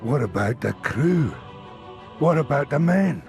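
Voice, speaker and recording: A blunt sardonic marine, creaky voice, slow talker, sci-fi drama. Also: male voice, fairly high pitch, animated, darkish tone, faint music behind. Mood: alarmed. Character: gruff, sardonic adult male space marine. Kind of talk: science fiction audio drama acting.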